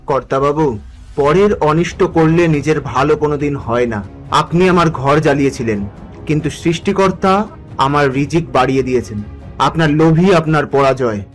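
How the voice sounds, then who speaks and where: A man's voice: fairly high pitch, moderate pace, lightly acted. A man playing a humble, pious poor farmer in a cartoon moral story, speaking earnestly and plainly. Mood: righteous. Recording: music behind the voice.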